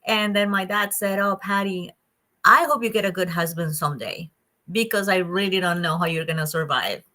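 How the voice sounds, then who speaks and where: Bright-voiced Colombian woman, laughing often. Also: medium pitch, moderate pace. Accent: Colombian Spanish. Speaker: guest, psychologist and coach. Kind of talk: conversational interview.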